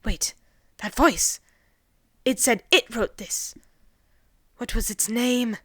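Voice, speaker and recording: A woman voicing a loud, arrogant male alien invader in a story, indignant and excitable, given to bombastic shouting. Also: a little high, takes it slowly, dry recording, clean studio sound, voice pushed up. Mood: irritated, puzzled. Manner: shouting, bombastic, refers to himself in third person.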